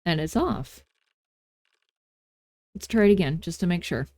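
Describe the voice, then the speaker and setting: A woman hosting a casual software tutorial, talking in an informal, playful and self-deprecating way. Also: lowish voice, expressive, a little slow, no echo, studio quality. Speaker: tutorial host.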